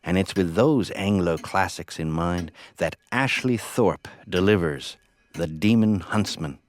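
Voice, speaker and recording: A man hosting an audio horror anthology, delivering a theatrical scripted introduction in a deliberate, playfully ominous manner. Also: sits low. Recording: voice over prominent music.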